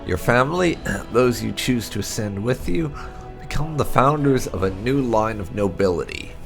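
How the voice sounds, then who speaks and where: A man narrating fantasy lore from a script in a measured storyteller's manner. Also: mid-range voice, animated, creaky, faint music behind. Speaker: gaming channel host reading game lore aloud.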